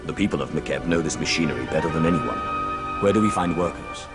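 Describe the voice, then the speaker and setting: A ruthless, commanding Sith lord from a sci-fi game. His voice is low and he speaks with measured, cold authority over prominent music. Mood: commanding, focused. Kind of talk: video game cutscene acting.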